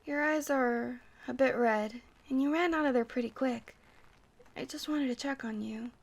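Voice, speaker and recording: A woman acting as a kindly vampire bartender with a fairly high voice. She talks in a gentle, chatty ASMR roleplay way, rambling whimsically as if to one companion. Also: normal speed, gravelly. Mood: gentle concern. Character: friendly, rambling young-looking vampire bartender woman, centuries old.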